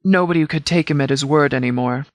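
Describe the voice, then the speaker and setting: A man playing a composed, pragmatic military commander in a video game, speaking in a formal, measured, tactical way. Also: a little high, dry recording, close to an everyday voice. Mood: firm, measured. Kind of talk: video game dialogue acting.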